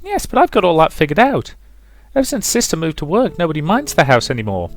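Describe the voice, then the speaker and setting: A man's voice: on the high side, wildly swooping pitch, quick pace, dry recording, faint music behind, pitched above the performer's own voice. A man voicing a chatty, earnest, naive young girl from a visual novel, in an eager, childlike, rambling way.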